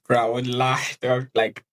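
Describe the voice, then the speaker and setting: A man's voice: mid-range voice, takes it slowly. Slangy young South African man. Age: young adult. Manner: relaxed, slangy, says bro a lot. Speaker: podcast co-host. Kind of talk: casual chat.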